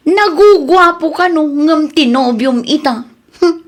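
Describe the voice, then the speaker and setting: A woman playing a cheeky, teasing young best friend in an Ilocano Filipino radio comedy, with a husky voice. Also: sits high, expressive, unhurried. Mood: teasing, confiding. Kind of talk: comedy radio drama acting.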